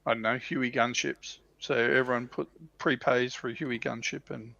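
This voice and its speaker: A man's voice: medium pitch, fairly even intonation, moderate pace, gravelly, sharp tone, no echo. A man chatting casually as a livestream panelist, on slightly muffled audio. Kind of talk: casual chat.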